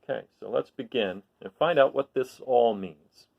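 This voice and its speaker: A man reading a children's picture book aloud expressively, pausing for asides and questions to his listeners. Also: mid-range voice, creaky, phone-quality sound. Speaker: read-aloud storyteller.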